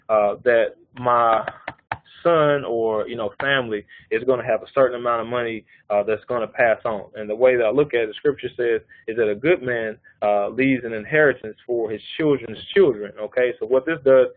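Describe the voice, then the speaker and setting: A man's voice: mid-range voice, dry recording. A man in his thirties presenting life insurance figures on a live conference call, explaining step by step and often checking in with his listeners. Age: 30s. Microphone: phone or call line. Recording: narrow phone-line audio.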